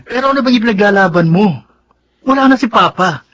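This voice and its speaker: A man's voice: high-pitched, expressive, fairly quick, a bit bright, dull audio. A man with a Filipino accent acting a mild, reasonable younger brother and son in a radio drama. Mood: troubled, frustrated. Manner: conciliatory, earnest, respectful.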